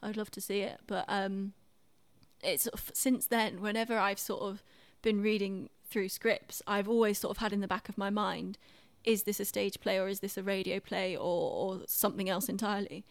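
A woman's voice: mid-range voice, little pitch movement, moderate pace, no room sound. A young woman chatting casually on a podcast, recounting things in a discursive, hesitant way. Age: young adult. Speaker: podcast speaker, theatre maker. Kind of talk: casual chat, anecdotal.

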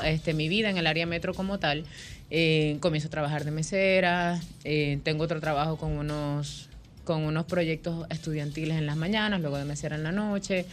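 A woman in her thirties with a Venezuelan accent, a microblading artist telling her life story as a guest on a radio talk show. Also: low-pitched. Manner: chatty, storytelling, laughs easily.

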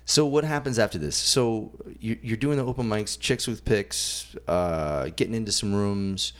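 A man's voice: on the low side, normal speed. A man hosting a casual interview, asking rambling questions and teasing his guest. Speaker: podcast host.

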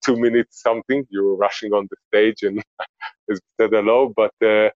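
A man in his forties with an Israeli accent, a podcast guest speaking slowly and reflectively from home, often searching for words. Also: lowish voice, fairly even intonation, darkish tone, dry recording, dull audio. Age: 40s. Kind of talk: podcast interview. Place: home, remote interview.

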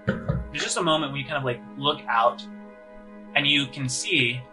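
A man working as game master, narrating a tabletop game descriptively and pausing as he finds his words. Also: medium pitch, music in the background, low-quality recording.

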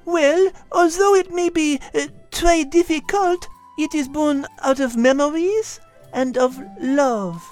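High-voiced, refined French cat. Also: female voice, takes it slowly, darkish tone, soft background music. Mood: gentle, wise. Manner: playful, patient, teacherly. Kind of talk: scripted character dialogue in a children's audio story show.

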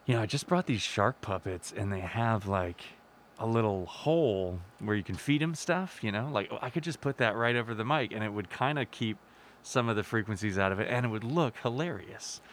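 A young man with a deep voice, a musician guest on a podcast, telling stories in an animated way and laughing often. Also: brisk, a little hiss or hum. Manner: animated storyteller, laughs often, jumps into high voices for quotes. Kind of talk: casual podcast chat.

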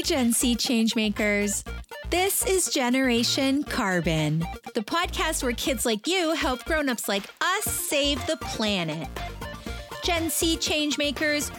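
A woman hosting a children's podcast with a scripted introduction. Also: high voice. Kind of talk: scripted kids' show introduction. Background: music.

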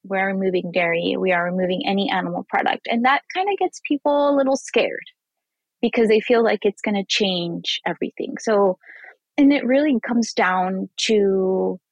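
A woman from Mexico, a vegan chef and food blogger, telling stories in a casual interview, animated and laughing, acting out what people said. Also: mid-range voice, dry recording, low-quality recording. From Mexico, raised on the Texas border. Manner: animated storyteller who acts out quoted voices and laughs often.

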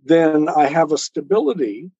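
Explanatory man with a mellow, muffled sound. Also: fairly high pitch, expressive, normal speed, husky, no room sound. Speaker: interview guest, author and speaker. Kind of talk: earnest explanatory interview talk on self-help and faith.

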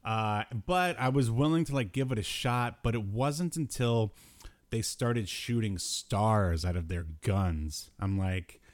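A man's voice: fairly low pitch, unhurried. Jokey man chatting casually on a podcast. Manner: jokey, exasperated riffing. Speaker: podcast host. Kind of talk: casual chat about old cartoons.

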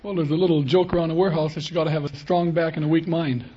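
A man's voice: a little high, fairly even intonation, rapid-fire. A man answering casually and briefly as a quiz show contestant. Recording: muffled, very noisy old radio recording.

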